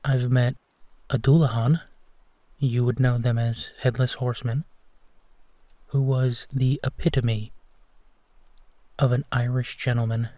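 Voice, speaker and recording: A man playing a gentle, protective werewolf who tenderly reassures his partner in an intimate bedtime roleplay, leaving long pauses. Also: mid-range voice, level tone, dry recording, lightly acted. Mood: gently wry. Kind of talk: intimate roleplay audio, soft bedtime comfort.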